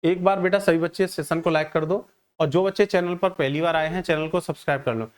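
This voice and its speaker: A man's voice: fairly high pitch, fairly even intonation, a little fast. A man teaching maths, working problems aloud, repeating phrases and addressing his students affectionately as he asks and answers his own questions. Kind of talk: exam-coaching lesson, solving problems aloud.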